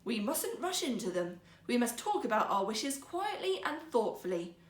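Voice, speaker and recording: A woman's voice: fairly high pitch, sing-song, normal speed. A woman voicing a poor woodcutter husband in a fairytale, earnest, emphatic, teasing and easily riled. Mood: pleased, measured. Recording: roomy, echoing sound.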